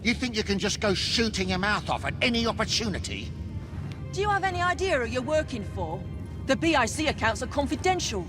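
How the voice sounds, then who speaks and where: A menacing company thug in a Victorian game drama. He bullies in a high, strongly varied voice, with clear music behind him. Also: very animated, a little fast. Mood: angry, threatening. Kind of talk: dramatic video game cutscene acting.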